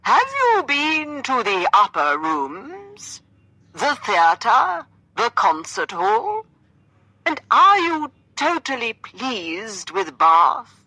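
A woman voicing a playful young gentleman who speaks with exaggerated, dramatic politeness in a storybook reading. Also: fairly high pitch, huge pitch swings, extremely slow, breathy edge, dry recording, pitched above the performer's own voice, heavily acted. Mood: theatrical politeness. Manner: dramatic, over-polite, stretched-out delivery.

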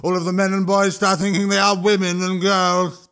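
A man voicing a boastful, bigoted male troll minister who jeers and shouts over a crowd, his pitch pushed up and his timbre changed. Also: huge pitch swings, measured pace, full character voice. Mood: ranting.

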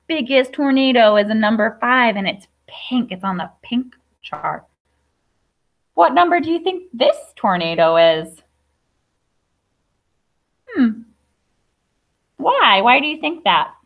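Slow-paced woman reading aloud to kids. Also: fairly high pitch, big pitch swings, narrow, tinny audio. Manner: simple explaining with questions and asides to children. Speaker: teacher reading aloud to children. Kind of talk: picture-book read-aloud for young children. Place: classroom.

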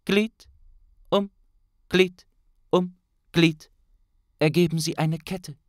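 Audiobook role: man as a tall, judging grandmaster, stern and deliberate, pitched up high. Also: steady tone, no room sound, clean studio sound. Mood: stern, accusing. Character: stern, tall male grandmaster passing judgement. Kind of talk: dramatic audiobook reading.

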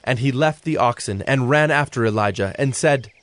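A man narrating an audio Bible as a scripted, measured storyteller. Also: medium pitch, a bit bright, a little hiss or hum. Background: music.

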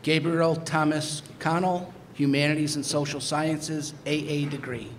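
A man formally reading out a roll call in an auditorium, deliberate and phrase by phrase, as a ceremony announcer. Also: fairly high pitch, steady tone. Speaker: announcer of graduates' names. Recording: clear background noise, hall ambience.

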